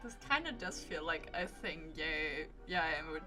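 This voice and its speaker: A woman's voice: sits low, expressive, measured pace, sharp tone, soft background music. A woman streaming a game, giving casual, playful and wry commentary as she talks back to the characters. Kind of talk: casual live gameplay commentary.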